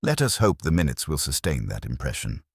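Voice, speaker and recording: A man voicing a courteous, quietly ironic police inspector in a deadpan, understated manner for an audiobook mystery. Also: rumbling low, brisk, slightly rough, dry recording, studio quality, full character voice.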